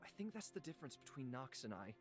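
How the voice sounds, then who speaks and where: An actor playing a gentle ancient god living in a human body, speaking in a measured, reflective way for a visual novel. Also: male voice, mid-range voice, very animated, natural voice. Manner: measured, solemn, reflective storytelling. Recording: music behind the voice. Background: instrumental music.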